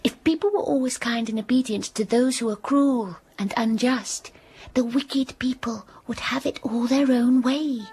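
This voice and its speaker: A woman's voice: on the high side, a little slow, slight background noise. Fiery young schoolgirl Jane. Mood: earnest. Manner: earnest and indignant, quietly fierce. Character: defiant passionate young girl pupil Jane. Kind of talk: radio drama acting with narration.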